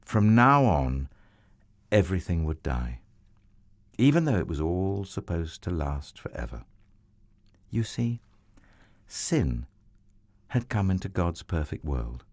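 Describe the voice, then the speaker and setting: A man narrating a children's Bible story in a tender, expressive storybook manner. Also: a bass voice, no room sound, studio quality.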